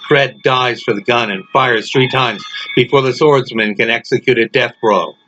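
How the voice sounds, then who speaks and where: A man reading a dark horror story aloud as its author, in a theatrical, dramatic delivery. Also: medium pitch, moderate pace. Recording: noisy, dull lo-fi home recording.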